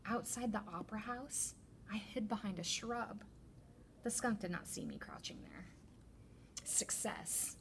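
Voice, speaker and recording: A woman reads a picture book to children in a mid-pitched voice with some creak in it. Her delivery is deliberate and expressive, in the manner of a school librarian. There is faint background noise on the recording. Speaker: teacher librarian.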